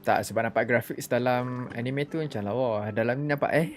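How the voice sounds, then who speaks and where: A man's voice: medium pitch, quick pace. Malaysian guy streamer, native malay, chill reacting to a game, mid voice, game music faintly behind. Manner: code-switching, laid-back reacting. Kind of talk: casual live gameplay commentary.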